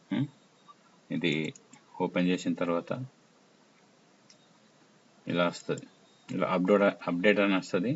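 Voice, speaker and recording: A man presenting an informal app tutorial, explaining step by step in short, halting phrases. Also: sits low, fairly bright tone. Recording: muffled lo-fi audio with faint noise.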